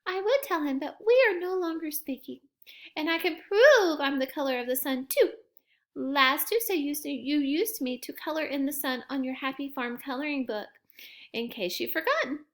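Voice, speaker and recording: A woman voicing a boastful, petulant crayon in a children's storybook, insistent and self-important. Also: sits high. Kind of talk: children's storybook read-aloud.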